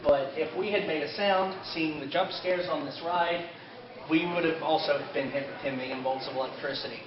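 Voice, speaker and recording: Higher-voiced man presenting in an echoey room. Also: moderate pace, a crowd in the background. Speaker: convention panel presenter. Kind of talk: informal panel talk about an anime, partly in character. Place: convention panel room, indoors. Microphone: distant camera microphone. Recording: roomy, dull, distant camera with audience.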